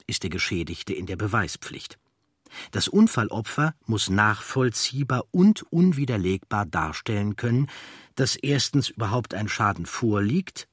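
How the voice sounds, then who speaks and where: A man's voice: lowish voice, husky, dry recording, studio quality. A man reading scripted narration for a documentary audiobook.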